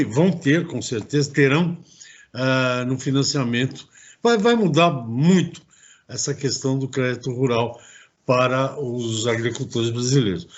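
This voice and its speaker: Chatty male talk-show host, lo-fi. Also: medium pitch. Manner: chatty, rambling with restarts. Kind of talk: casual interview talk.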